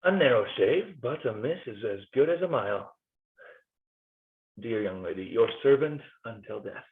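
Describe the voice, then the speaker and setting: A man's voice: animated, normal speed, lightly acted. A man reads a weary Swiss mercenary, nervous and wry, whose voice swings wildly up and down. The sound is thin and phone-like, as over a video call. He pleads and jokes in the same breath. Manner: nervous, wry and pleading, with jumpy pitch leaps. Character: weary, jittery Swiss mercenary soldier, middle-aged man. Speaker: cast reader in a play reading. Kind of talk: cold-read stage play reading.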